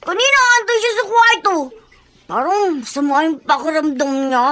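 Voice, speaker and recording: A woman's voice: very high-pitched, sing-song, moderate pace, fairly bright tone, exaggerated voice. A woman voicing a young boy, an anxious little brother, in a Filipino radio drama. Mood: worried.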